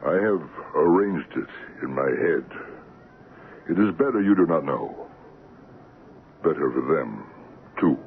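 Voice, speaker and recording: Radio drama casting: solemn, secretive outpost worker, deep voice, dull lo-fi broadcast. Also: male voice, animated, slow, pauses often, exaggerated voice. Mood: grave resolve. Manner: solemn, formal phrasing. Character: grave, secretive Congolese male trading company worker. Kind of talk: 1970s radio drama acting. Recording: muffled, noisy old radio broadcast.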